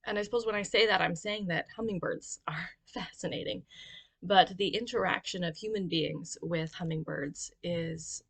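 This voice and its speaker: A homeschooling mother talking casually on her vlog in a measured, reflective and articulate way. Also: lowish voice, vocal fry, a bit bright, breathy edge. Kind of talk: casual educational vlog talk.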